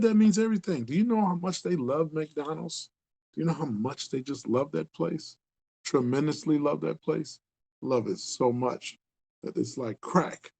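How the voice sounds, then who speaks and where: A man's voice: medium pitch, gravelly, mellow, breathy edge. A man in his sixties from the Upper Midwest, a community outreach leader speaking casually to camera, earnest and repetitive for emphasis. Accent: Upper Midwest American. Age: 60s. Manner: earnest, rambling, repeats himself for emphasis.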